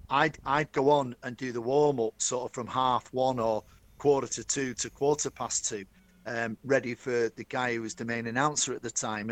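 A middle-aged man with a broad Manchester accent tells his story with lively enthusiasm, often turning to address the host by name. His voice sits at an ordinary middle pitch. The sound is dry, with no room echo. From Manchester, England. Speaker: guest, stadium announcer. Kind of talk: storytelling interview.

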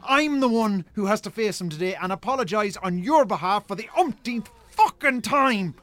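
Angry Irish brother, raised pitch, scornful, sarcastic, scolding, sweary, radio drama. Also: male voice, expressive, moderate pace, a bit bright. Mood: furious. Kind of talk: naturalistic radio drama acting.

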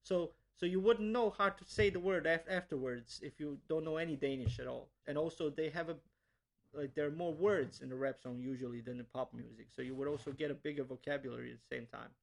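Young guy from bosnia, teacher type, kinda high voice, repeats himself, earnest interview answers. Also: brisk, creaky. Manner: earnest, many restarts and repeated words. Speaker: language teacher.

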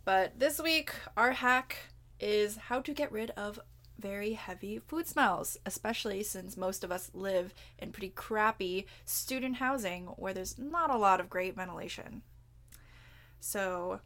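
A woman's voice: mid-range voice, a bit bright. Giggly young woman co-hosting a podcast. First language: Cantonese. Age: young adult. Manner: chatty, giggly, swears casually. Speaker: podcast co-host. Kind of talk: casual chat.

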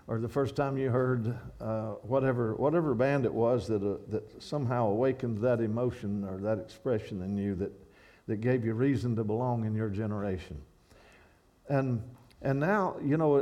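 Kentucky-accented male preacher, warm and dark tone, stumbling restarts, reads a poem in rhythm. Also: mid-range voice. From Kentucky, USA. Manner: halting restarts, then rhythmic poetic reading. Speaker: guest speaker. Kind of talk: sermon with a read-out poem. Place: church.

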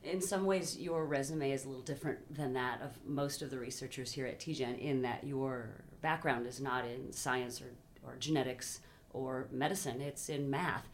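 Very low-voiced woman interviewer. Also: steady tone, moderate pace. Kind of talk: interview questions. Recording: faint background noise.

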